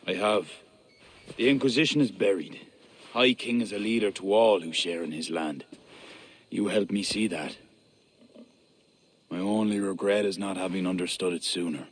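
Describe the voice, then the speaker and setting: An actor playing a proud male high king who speaks formally and contritely. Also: moderate pace, pauses often, breathy edge. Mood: wry.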